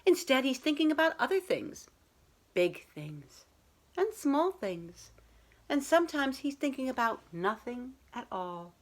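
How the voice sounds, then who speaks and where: A woman's voice: sits high, sing-song, a little slow. A woman reading a picture book aloud as a school librarian, talking to young children in simple, explanatory phrasing.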